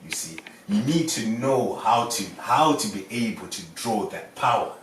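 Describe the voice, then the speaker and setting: Lowish voiced guy on a podcast, keeps saying you see, roomy far-off sound. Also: lively intonation, unhurried. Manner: earnest, says "you see" often, flares up loud when challenged. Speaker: podcast panelist. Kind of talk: casual discussion. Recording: roomy, distant mic, faint noise.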